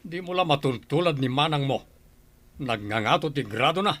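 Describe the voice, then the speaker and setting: A man's voice: on the high side, expressive, normal speed, fairly bright tone. A man playing a stern, disappointed father in a radio drama, scolding and disparaging. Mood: reproachful.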